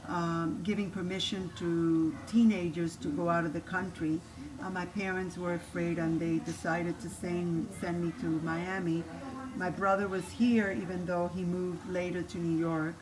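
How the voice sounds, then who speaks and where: A woman's voice: lowish voice, unhurried. An older grandmother from Cuba with a Cuban Spanish accent, whose first language is Spanish. She gives a homey cooking lesson in her kitchen and tells her life story, with many restarts. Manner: homey and instructive, with frequent restarts. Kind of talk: cooking demonstration and personal storytelling. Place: home kitchen. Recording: steady background noise.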